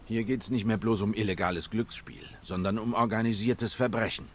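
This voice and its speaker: Man as low, stoic cat detective. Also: fairly even intonation, slight background noise, lightly acted. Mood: stern. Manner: measured, serious, terse. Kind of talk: cinematic noir game acting.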